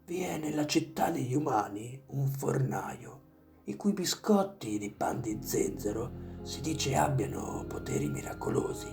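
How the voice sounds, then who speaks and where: Hushed, creaky wise old owl by a narrator. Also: mid-range voice, sing-song, measured pace, soft background music. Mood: calm, knowing.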